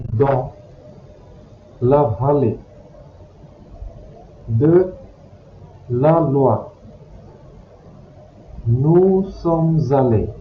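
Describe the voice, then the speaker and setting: A man's voice: a little high, a little hiss or hum. A man teaching a language by reading short passages aloud, then repeating them word by word. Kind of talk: slow read-aloud lesson for learners. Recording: echoey, dull lo-fi recording.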